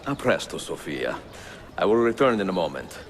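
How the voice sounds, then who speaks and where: An actor playing a suave, confident older Italian adventurer in a game cutscene, with an Italian accent and measured delivery. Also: male voice, sits low, big pitch swings, in a large hall, a little hiss or hum. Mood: charming. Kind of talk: dramatic video game cutscene acting. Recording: music behind the voice.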